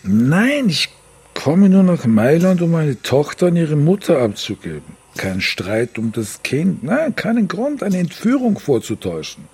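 An actor playing a desperate, stubborn father in a radio crime drama, speaking with insistent, deliberate intensity. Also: fairly high pitch, big pitch swings, darkish tone, breathy edge, exaggerated voice. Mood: shaken. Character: desperate, stubborn father searching for his abducted daughter.

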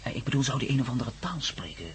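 An actor playing an excitable, curious layman in a radio drama, hesitant and repeating his words. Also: mid-range voice, racing, lightly acted. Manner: hesitant, stammering repetitions. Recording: faint background noise and hum.

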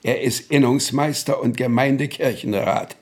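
An actor playing an evasive, authoritative Prussian senior official in a German radio drama, formal and deliberate. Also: male voice, mid-range voice, a little mellow, close to an everyday voice. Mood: measured, reluctant.

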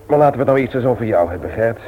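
An actor playing a busy, sociable brother in a radio play, talking in a brisk, frank, conversational way. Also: male voice, mid-range voice, lively intonation, lightly acted. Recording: tinny, noisy old radio recording.

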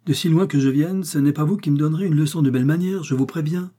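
A man's voice: on the high side, expressive, normal speed, dark tone, slightly rough, pitched above the performer's own voice. A man reading aloud and voicing a young, hot-headed male swordsman in a hurry, speaking in a heated, defiant manner.

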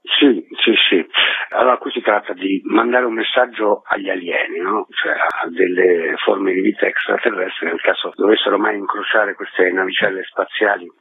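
A man's voice: sits low, fairly even intonation, normal speed, vocal fry, husky. A man explaining things conversationally as an interview guest. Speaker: book publisher interviewed as guest. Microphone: telephone. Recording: tinny phone line with echo.

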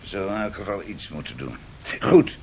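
A man's voice: low voice, animated, a little fast, a little mellow, a little hiss or hum. An actor playing a brusque, authoritative male prime minister in a 1970s radio drama, curt and imperious. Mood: brusque, stern.

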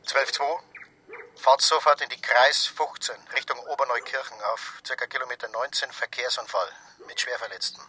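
Sharp, bright-voiced police dispatcher. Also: male voice, medium pitch, fairly even intonation, normal speed, slight background noise, rough recording. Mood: commanding. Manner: terse, commanding. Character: clipped, businesslike male police radio dispatcher. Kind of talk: radio drama, procedural radio messages.

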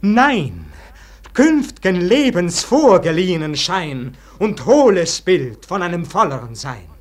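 An actor playing a weary, disillusioned nobleman in a classic German radio verse drama, declaiming solemnly and theatrically, at times falling to hushed tones. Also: high-pitched, big pitch swings, slow, heavily acted. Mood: agitated regret. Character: weary, disillusioned nobleman brooding over an unlived life.

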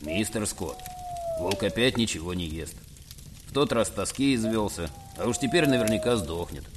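An actor playing a plain-spoken dog-team driver, blunt and folksy, in an audio drama. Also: male voice, lowish voice, lively intonation, normal speed, natural voice. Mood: dry, glum. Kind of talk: Russian audio drama acting. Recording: clear outdoor and vehicle noise behind.

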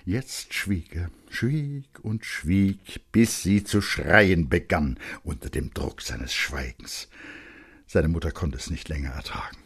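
Male narrator for literary drama: deep, highly animated delivery, intense, with dramatic pauses. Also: creaky, husky. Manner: expressive, intense, with dramatic pauses and hushed phrase endings.

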